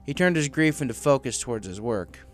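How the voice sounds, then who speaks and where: A man's voice: mid-range voice, lively intonation, a little fast, faint music behind. A man reading scripted fiction aloud as a story narrator.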